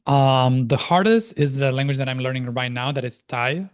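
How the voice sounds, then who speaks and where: A man in his thirties from Argentina, a native Spanish speaker, talking reflectively as an interview guest with frequent restarts and pauses. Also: medium pitch, smooth. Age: 30s. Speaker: interview guest, life and language coach. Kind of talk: casual interview conversation.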